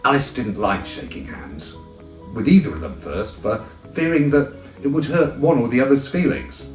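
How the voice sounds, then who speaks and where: A man narrating a children's storybook in an expressive, theatrical way. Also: medium pitch, moderate pace, roomy sound, distant mic, soft background music, poor audio. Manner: theatrical, animated. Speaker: audiobook reader.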